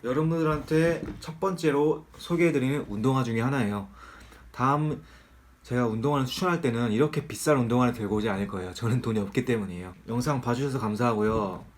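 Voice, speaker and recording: A man's voice: medium pitch, fast. A man with a cold giving a casual, candid sneaker review in a nasal, slightly strained voice, joking about being broke. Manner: chatty, candid, self-deprecating about money.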